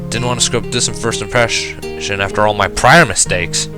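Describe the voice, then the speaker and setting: A man reading a first-person story aloud in an expressive narrating style. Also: on the low side, normal speed, music in the background. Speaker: fan fiction reader.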